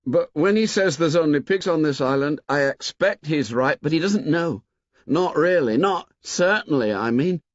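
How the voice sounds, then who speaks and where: A man's voice: on the high side, animated, moderate pace, dry recording, pitched above the performer's own voice, close to an everyday voice. A man doing an eager chatty young boy. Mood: earnest. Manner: rambling, earnest, then laughs gaily. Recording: dull, dry recording.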